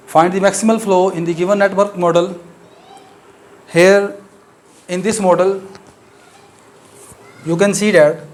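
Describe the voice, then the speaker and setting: A man whose first language is Urdu, speaking English with an Urdu accent as he gives a maths lecture, explaining step by step with frequent pauses and fillers. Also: high-pitched.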